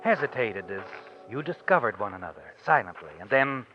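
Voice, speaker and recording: An actor playing a sinister, all-knowing narrator of crime tales in a 1940s radio suspense show, speaking in an ominous, deliberate, dramatic way. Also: male voice, medium pitch, sing-song, close to an everyday voice. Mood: brooding, measured. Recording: dull vintage radio recording with music.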